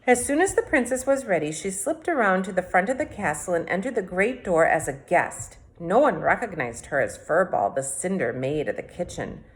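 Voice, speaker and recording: A woman's voice: lowish voice, very animated, moderate pace, hardly any pauses, poor audio. A woman reading a fairy tale aloud expressively, as a teacher would to children. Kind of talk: expressive storybook read-aloud.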